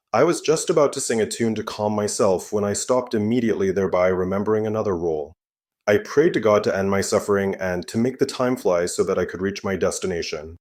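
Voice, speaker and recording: A man with a fairly low voice tells a first-person scary story. His reading is scripted, steady and even throughout. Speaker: narrator.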